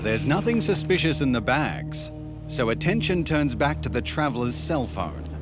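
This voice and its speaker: A man narrating a documentary in a measured, explanatory scripted way. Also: mid-range voice, very animated. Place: voice-over studio. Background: background music.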